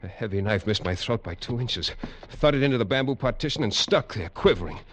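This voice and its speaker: A man playing a deep-voiced hard-boiled detective. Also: animated, brisk, a little hiss or hum, natural voice. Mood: tense. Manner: clipped, hard-boiled narration. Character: tough American detective narrator. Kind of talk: 1950s radio drama acting. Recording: dull old radio recording.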